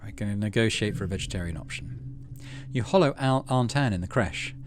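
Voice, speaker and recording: A man's voice: on the low side, animated, fairly quick, noisy background. A man giving casual playthrough commentary on a game, thinking aloud and reading its story text out. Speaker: gaming channel host.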